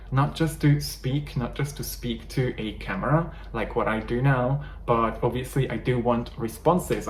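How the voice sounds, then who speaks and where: A man's voice: mid-range voice, a little mellow. Hungarian-accented man coaching English learners. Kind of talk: talking-head teaching video. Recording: echoey room, faint background noise.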